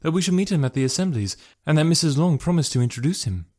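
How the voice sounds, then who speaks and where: Male reader as lively, slightly fretful girl in a classic novel, pitched up and girlish. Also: quick pace. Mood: reasoning. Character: spirited young daughters, pert and fretful. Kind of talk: audiobook character voice.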